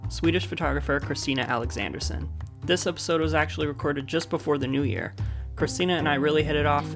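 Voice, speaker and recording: A man's voice: a little high, creaky. A man with an American accent hosting a podcast, moving between scripted introductions and casual interview chat. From United States. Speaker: podcast host.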